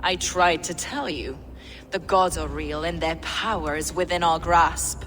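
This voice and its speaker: An actress playing a menacing, zealous villainess in a video game, with a theatrical, mocking courtesy. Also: female voice, sits low, fairly even intonation, big-room sound, very noisy. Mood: cold, gloating. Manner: unhurried, theatrical, falsely courteous. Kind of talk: video game cutscene acting.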